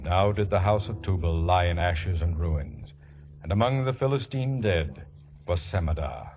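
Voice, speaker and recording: An actor as a solemn biblical storyteller, narrating a 1950s radio drama in a stately, dramatic manner. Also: male voice, sits low, expressive, moderate pace, darkish tone, muffled recording. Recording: old radio broadcast with orchestral music.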